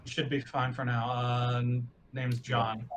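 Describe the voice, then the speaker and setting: A man's voice: mid-range voice, level tone, slow pace. Casual man playing tabletop, faint background noise. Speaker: tabletop game player. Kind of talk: casual improvised roleplay.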